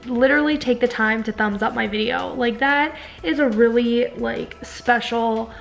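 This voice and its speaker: Young woman, hoarse, chatty vlog, fairly high voice, camera held at arm's length. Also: normal speed, soft background music. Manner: chatty, lots of "like" and "you guys". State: hoarse from a cold. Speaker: vlogger. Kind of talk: casual vlog chat to camera. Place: living room at home, also in a car.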